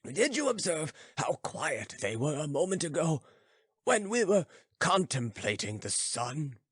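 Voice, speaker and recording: A man's voice: a little high, big pitch swings, a little slow, fairly bright tone, husky, dry recording, studio quality, pitched above the performer's own voice, exaggerated voice. A man voicing an old philosopher, lofty and teasing, questioning emphatically in an audiobook story. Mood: musing, curious. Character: old philosopher sage, lofty, teasing, growling, addressing youths.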